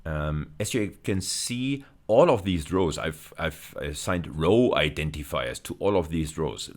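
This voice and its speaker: A man with an extremely low voice speaks as a university lecturer. His intonation is very lively, rising and falling as he explains slides and stresses key terms by rephrasing them.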